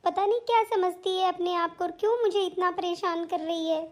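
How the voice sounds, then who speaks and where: Cartoon role: elderly mother-in-law played by a woman, extremely high voice, meek, aggrieved, placating. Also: steady tone, brisk. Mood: plaintive, lamenting. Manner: plaintive, complaining, placating. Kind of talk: Hindi family cartoon drama dialogue.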